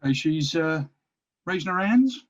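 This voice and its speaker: A man's voice: fairly high pitch, expressive, a little slow, lo-fi sound. A man playing a blunt, exasperated orc army captain with a matey, bossy manner in a fantasy comedy read. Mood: puzzled.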